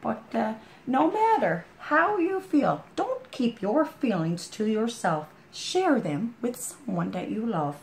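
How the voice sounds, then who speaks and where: A hoarse woman with a chest cold, rambling in a folksy, heartfelt way as she chats to a friend. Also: a little high, very animated, slow pace, few pauses, a little mellow. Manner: rambling, folksy, full of "you know". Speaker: storytime vlogger. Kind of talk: casual heartfelt chat to a friend.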